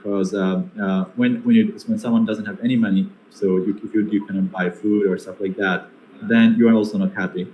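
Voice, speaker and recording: A man's voice: lowish voice, steady tone, brisk, muffled recording. A young man from Bangladesh with a Bangladeshi accent, answering seminar questions with many fillers and restarts. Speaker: guest speaker. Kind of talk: online seminar Q&A. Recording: echoey room with faint noise.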